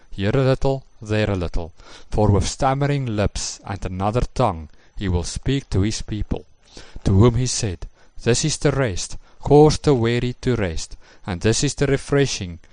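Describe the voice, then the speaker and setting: A man in a recorded lesson presentation, reading scripture passages in a measured, formal way. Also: fairly low pitch, a little mellow, dry recording.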